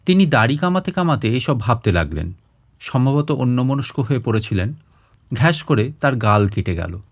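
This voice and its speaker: A man narrating a literary short story in an expressive audiobook style. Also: mid-range voice.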